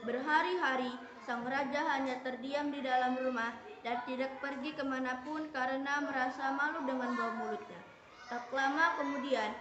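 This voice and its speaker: A woman narrating a fable for a school puppet show, in a steady storybook reading. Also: sits high, lo-fi sound. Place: school room. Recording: echoey and noisy room.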